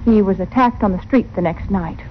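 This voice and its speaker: An actress playing an earnest, anxious frontier wife in an old radio western. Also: female voice, medium pitch, fairly quick, lightly acted. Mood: grave. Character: worried, earnest frontier wife pleading for help. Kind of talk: 1950s radio western acting. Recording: muffled, hissy old radio recording.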